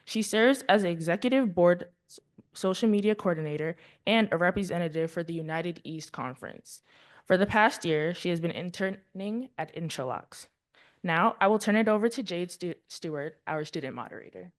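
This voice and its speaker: Woman emcee, formal bio reading, low pitch, occasional stumbles. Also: moderate pace. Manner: formal, reads bios with small stumbles. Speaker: event introducer. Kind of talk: reading speaker introductions.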